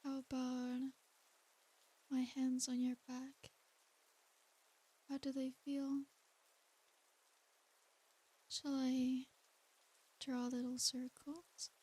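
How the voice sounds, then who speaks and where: Woman playing a high, breathy, slow-speaking succubus. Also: completely flat delivery, exaggerated voice. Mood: affectionate, coaxing. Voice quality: soft, breathy. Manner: soothing, coaxing, hushed, with pet names. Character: tender, doting succubus demon woman, centuries old. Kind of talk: ASMR roleplay.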